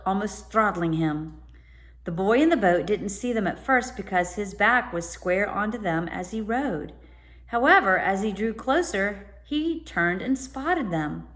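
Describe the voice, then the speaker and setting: A woman's voice: mid-range voice, fairly bright tone. Woman reading a children's story aloud. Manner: pauses to explain words to listeners. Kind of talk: storybook narration with short explanatory asides.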